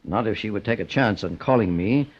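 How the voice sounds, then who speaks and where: An American actor playing a calm, formal, authoritative gentleman detective in an old-time radio drama, speaking in a measured, deliberate way. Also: on the low side. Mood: thoughtful. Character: calm, authoritative gentleman private investigator, the boss. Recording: muffled old radio broadcast.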